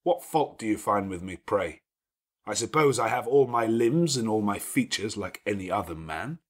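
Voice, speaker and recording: A man voicing a gruff, commanding, teasing middle-aged gentleman with elaborate, sardonic phrasing in an audiobook. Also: lowish voice, animated, moderate pace. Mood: sardonic, challenging. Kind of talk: dramatic audiobook character dialogue.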